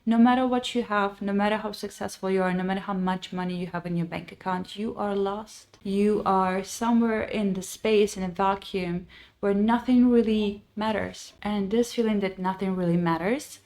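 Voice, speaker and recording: A woman's voice: mid-range voice, moderate pace, a little mellow. A woman from Ukraine in her thirties, a podcast host and life coach telling her own story in an earnest, reflective way, with phrasing. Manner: earnest and reflective, with frequent restarts and non-native phrasing. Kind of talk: personal storytelling monologue.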